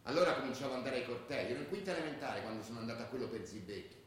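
A man's voice: mid-range voice, very fast, fairly bright tone, lightly acted. An actor playing a streetwise male ultra who looks back on a rebellious youth, in colloquial radio drama storytelling. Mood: nostalgic, excited. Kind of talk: theatrical radio drama monologue. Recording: very echoey, distant, faint noise.

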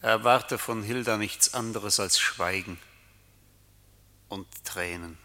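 An actor playing a menacing, cruelly mocking night rider, declaiming tauntingly in a reverberant radio play. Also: male voice, lowish voice, bright, forceful tone, full character voice. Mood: cold, cruel. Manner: declamatory, taunting, deliberate phrasing. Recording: long hall reverb.

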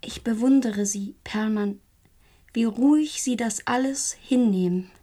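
An actress playing an anxious, lonely, tender grown daughter in a radio drama, soft and intimate with a breathy voice. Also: female voice, on the high side, unhurried.

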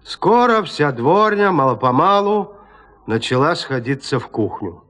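A man narrating a classic literary tale in an audio drama, measured, with deliberate pauses. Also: fairly high pitch, very animated, fairly bright tone, slight background noise.

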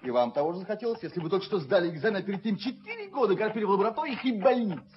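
An actor playing a brash, carefree young doctor in a radio play, with a blunt, impulsive manner. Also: male voice, high-pitched, sing-song, racing. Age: young adult. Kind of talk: theatrical radio drama acting. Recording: dull 1970s radio recording.

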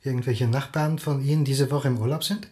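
An actor playing a polite, methodical police officer questioning a witness in a radio drama. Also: male voice, medium pitch, steady tone, fairly quick, darkish tone, natural voice. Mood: polite, probing. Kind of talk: naturalistic radio drama acting.

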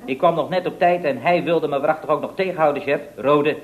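A man's voice: a little high, quick pace, narrow, tinny audio, natural voice. An actor playing an earnest, slightly dim, subservient male employee in a radio comedy, speaking in clipped, deferential, stammering phrases. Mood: breathless self-justifying. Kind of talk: 1970s radio comedy acting. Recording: noisy vintage radio recording with clicks.

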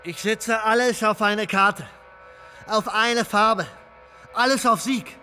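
An actor playing a reckless, broke compulsive gambler in a German radio drama, with an insistent, swaggering manner. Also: male voice, high voice, slow pace, bright, forceful tone, faint music behind, heavily acted. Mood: feverish bravado. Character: reckless, broke, compulsive gambler man, feverishly pushing for bets.

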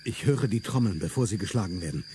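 A man's voice: fairly low pitch, lively intonation, quick pace, dark tone, a little hiss or hum, lightly acted. A man playing a fierce, declamatory revolutionary. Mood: fervent. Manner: solemn, declamatory delivery. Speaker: radio drama actor. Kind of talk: stage-like radio play acting.

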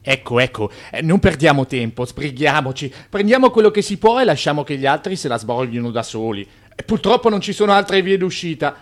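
Actor as a slippery banker, very animated. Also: male voice, fairly high pitch, a little fast, close to an everyday voice. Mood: hurried, rueful. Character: shrewd, slippery Italian immigrant banker and boss. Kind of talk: radio drama acting.

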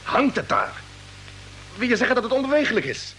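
A male actor playing a brisk, steady, commanding spaceship captain in a radio play. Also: very high-pitched, heavily acted, low-quality recording. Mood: calm commanding. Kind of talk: mid-century radio play acting. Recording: old radio recording with faint noise.

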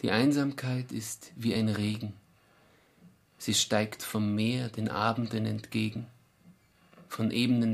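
A solemn, melancholy man recites verse for radio drama. His medium-pitched voice is thick with fry and gravel, and he moves slowly through measured, weighty phrases.

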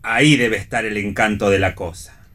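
A man's voice: fairly low pitch, normal speed, fairly bright tone, natural voice. An actor playing a self-assured, provocative man, no longer very young, in radio theatre, with an Argentine accent and a teasing manner. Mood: amused.

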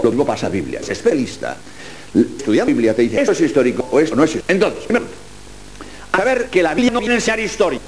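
A man's voice: fairly high pitch, darkish tone, slight background noise, rough recording. A man giving a talk on the Bible, emphatic, asking rhetorical questions and repeating himself.

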